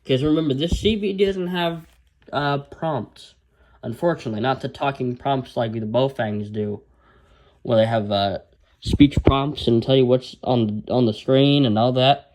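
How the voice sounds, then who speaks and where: A man's voice: mid-range voice, a little slow, darkish tone, low-quality recording. A man casually reviewing a handheld radio, rambling with lots of fillers and restarts.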